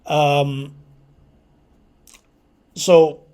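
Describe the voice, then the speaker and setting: A man hosting a hobby radio video, explaining informally and unscripted in a hesitant, halting way, as if thinking aloud. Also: a little high, little pitch movement, dark tone. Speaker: amateur radio video host.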